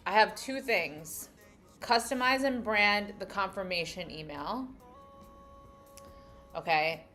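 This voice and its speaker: A woman's voice: mid-range voice, fairly bright tone. A young adult woman from New York with a New York accent, chatting casually with live-stream viewers and thinking aloud as she reads their comments. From New York City. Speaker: livestream host.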